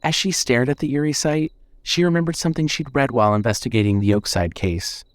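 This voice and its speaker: Man, horror fiction narrator, measured and suspenseful, scripted delivery, dry sound. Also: mid-range voice, no echo.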